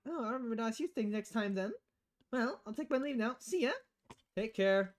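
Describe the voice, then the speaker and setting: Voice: mid-range voice, animated, brisk, voice pushed up, close to an everyday voice. A streamer voicing a confident, sardonic young female hacker in a visual novel game. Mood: cheerful. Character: confident, flippant young woman freelance hacker.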